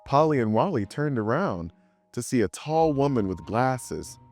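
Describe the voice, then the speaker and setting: A man reading a children's story aloud in an expressive way, acting out the dialogue. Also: medium pitch, a little slow, faint music behind. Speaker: story reader. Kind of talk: scripted children's story narration.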